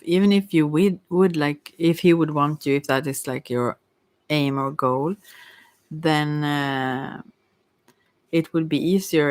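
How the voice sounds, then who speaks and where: A tarot reader giving an informal ASMR reading in a hesitant, rambling way with many pauses. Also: medium pitch, dry recording. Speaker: Ayurveda therapist.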